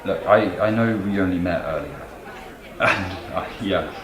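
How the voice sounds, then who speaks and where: A man playing an awkward, flustered character in a halting, tongue-tied stage monologue. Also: sits low, a crowd in the background. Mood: nervous, earnest. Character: awkward, flustered man addressing a new acquaintance. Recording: echoey room with audience sounds.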